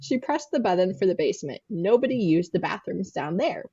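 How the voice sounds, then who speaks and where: Husky, animated woman reading fiction. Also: fairly low pitch, a little fast. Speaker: narrator in a group reading. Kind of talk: expressive amateur story narration. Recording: dull, lo-fi microphone sound.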